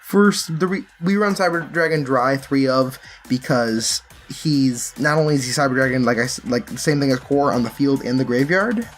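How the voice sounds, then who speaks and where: A man's voice: mid-range voice, moderate pace, soft background music. A man giving a casual, explanatory walkthrough as a card game player presenting a deck.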